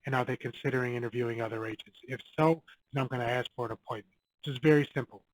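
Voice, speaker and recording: A man's voice: mid-range voice, steady tone, fast, slight background noise, low-quality recording. A man talking straight to camera, giving direct coaching advice on cold calling and repeating his key points. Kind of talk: casual advice video to camera.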